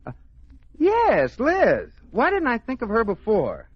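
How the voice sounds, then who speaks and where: An actor playing a jealous, scheming American husband in a radio comedy, stammering and excitable. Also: male voice, very high-pitched, slow pace, slightly rough, dry recording, full character voice. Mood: scheming, eager. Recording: muffled old radio recording.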